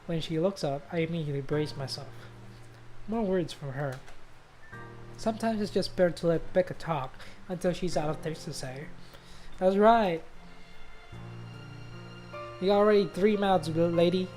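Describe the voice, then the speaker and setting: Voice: medium pitch, moderate pace, pauses often, darkish tone, rough recording. A streamer giving casual, sweary game commentary and reading the story text aloud in a playful way. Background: faint game music.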